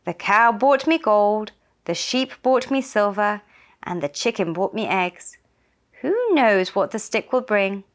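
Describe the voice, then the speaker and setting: A woman's voice: mid-range voice, a little slow, lightly acted. A woman voicing a greedy, scheming man in a children's folktale, in an exclaiming manner. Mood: greedy musing.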